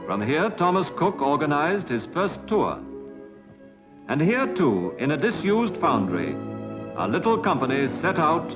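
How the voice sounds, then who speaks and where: A man's voice: on the high side, sing-song, measured pace. Male British documentary narrator, 1950s style. Dramatic, sweeping intonation, music behind, dull old soundtrack. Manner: formal, literary, dramatic.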